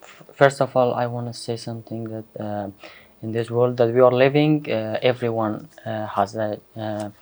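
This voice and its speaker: Halting young man from Afghanistan. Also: mid-range voice, steady tone, a little mellow. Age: young adult. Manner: halting, many uh fillers, earnest. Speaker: student guest. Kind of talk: hesitant interview answers. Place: studio.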